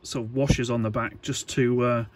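A man giving an informal hands-on tutorial, talking in a halting, hesitant way as he thinks aloud. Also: medium pitch, level tone, background noise. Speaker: electronics hobbyist presenter.

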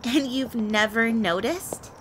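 A woman's voice: fairly high pitch, background noise. A woman playing a flirty, teasing, confident vampire in an intimate audio roleplay spoken to the listener. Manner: playful, teasing, unhurried delivery with soft breathy asides.

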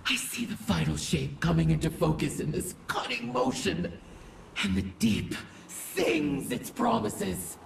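A woman playing a vengeful, grieving alien war goddess in a video game, delivering a grandiose, theatrical monologue in a rasping voice. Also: lowish voice, slight background noise, full character voice. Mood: dark resolve. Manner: grandiose, slow, theatrical, swinging from whispers to shouts. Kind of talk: dramatic game audio-log monologue. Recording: echoey, distant voice with music underneath.

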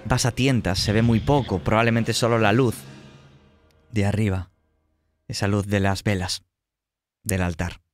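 Low-pitched Spanish man narrating horror. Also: steady tone, no room sound, soft background music. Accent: Spain. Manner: slow, suspenseful second-person narration in short phrases. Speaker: game master. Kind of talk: narrating a horror tabletop role-playing game.

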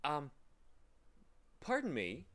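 A man's voice: fairly high pitch, huge pitch swings, drawn-out words, fairly bright tone, voice pushed up, exaggerated voice. A man voicing a polite, earnest young boy from a storybook, speaking hesitantly and questioningly. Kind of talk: storybook character voice.

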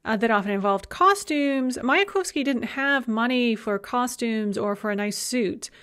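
Woman, middle-aged, university lecturer, slightly high voice, conversational, lots of "uh"s, recorded slide lecture. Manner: conversational, frequent "uh" fillers.

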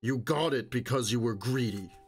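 A man's voice: mid-range voice, lively intonation, normal speed, fairly bright tone. Man playing a cold, curt younger tough guy. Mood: cold blame.

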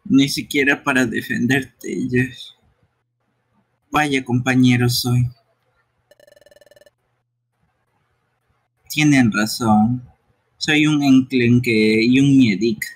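Man as a slow-spoken, timid little creature. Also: mid-range voice, fairly even intonation, low-quality recording. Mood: ashamed. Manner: earnest, apologetic. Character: timid, earnest young fox-like creature, self-doubting but determined. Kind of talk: live amateur game dubbing.

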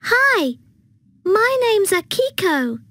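A woman voicing a cheerful young schoolgirl in a textbook dialogue, speaking slowly and very clearly. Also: very high-pitched, very animated, no room sound, exaggerated voice. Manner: bright, slow, carefully clear speech.

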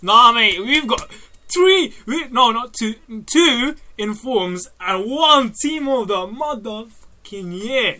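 A young man, giving loud, excitable, sweary commentary over a game, his voice going hoarse. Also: very high voice, takes it slowly. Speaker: gaming YouTuber. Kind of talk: excited pack-opening commentary. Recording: game music playing behind.

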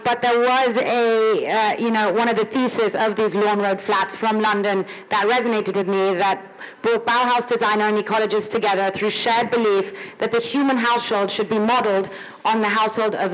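A woman's voice: medium pitch, a bit bright, poor audio. A woman moderating a panel, reading prepared questions from a page, speaking on a handheld mic at an outdoor garden stage.

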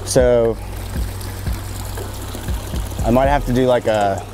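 A man in his thirties, a pond builder, casually walking through a backyard project outdoors, relaxed and full of fillers. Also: mid-range voice, normal speed, background noise. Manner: relaxed, filler-heavy, enthusiastic. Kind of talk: casual on-site walkthrough. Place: outdoor backyard construction site.

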